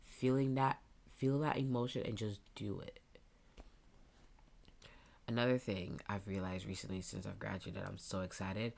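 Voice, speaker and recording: Stuffy-nosed young woman with a very low voice. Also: steady tone, normal speed, vocal fry, long pauses. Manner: rambling, thinking out loud. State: stuffy nose. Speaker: podcast host. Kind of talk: casual solo podcast monologue.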